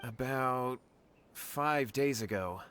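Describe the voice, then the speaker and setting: A man playing a worried young villager in an animated game, earnest, deferential and pleading, with music behind him. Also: mid-range voice, slow, a little hiss or hum.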